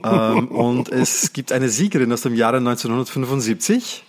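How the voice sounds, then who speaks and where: A man hosts a casual podcast interview. His voice sits at a medium pitch for a man, and he often pauses on fillers as he works through his prepared questions. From Netherlands. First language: Dutch. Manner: prepared, hesitates with "ähm". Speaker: podcast host.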